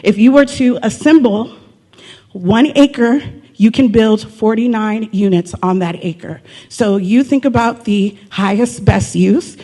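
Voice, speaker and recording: A woman's voice: fairly high pitch, unhurried, mellow. A woman giving a live persuasive presentation to investors as a city presenter, emphatic, repeating key figures and posing rhetorical questions. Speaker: city economic development presenter.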